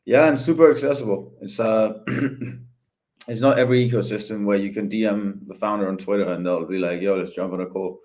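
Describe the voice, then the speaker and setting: A man chatting casually as a podcast guest, easygoing and hesitant with many pauses. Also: fairly low pitch, steady tone, a little mellow. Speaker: head of ecosystem, podcast guest.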